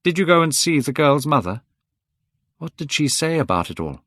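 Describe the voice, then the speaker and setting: A man reading aloud, voicing an older painter who sounds worried, gentle and pleading. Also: on the high side, sing-song, normal speed, no echo, clean studio sound, voice pushed up. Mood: anxious, pleading.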